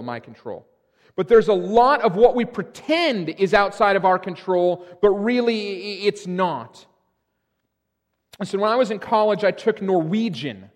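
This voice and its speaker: High-voiced man preaching in a reverberant hall. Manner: emphatic preaching with frequent restarts. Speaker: pastor. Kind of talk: sermon. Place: church sanctuary.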